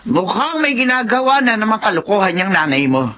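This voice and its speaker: A woman's voice: medium pitch, very animated, brisk, fairly bright tone. A woman playing a scornful elderly grandmother in a Filipino radio drama, exclamatory and disapproving. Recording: dull lo-fi audio.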